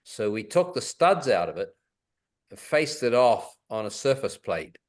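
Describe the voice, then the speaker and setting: An older man, chatting on a club call. He explains hands-on repairs in plain words and laughs readily. His voice sits at an ordinary middle pitch. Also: very animated, a little slow. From New Zealand. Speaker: club member answering technical questions. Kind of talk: casual conference call.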